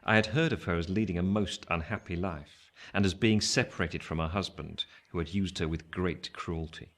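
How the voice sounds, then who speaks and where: Deep male voice, a thoughtful older gent telling his life story, radio play, slow-burning wistful storytelling. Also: fairly bright tone. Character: older Pip, reflective gentleman narrator looking back on life. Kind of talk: scripted literary narration in a radio play.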